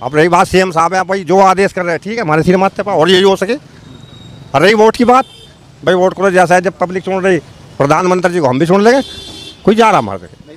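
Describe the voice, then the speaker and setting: A man's voice: high-pitched, a little fast. A man from the public arguing in a heated street interview, repeating himself and challenging the other person. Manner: argumentative, repetitive, challenging. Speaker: member of the public being interviewed.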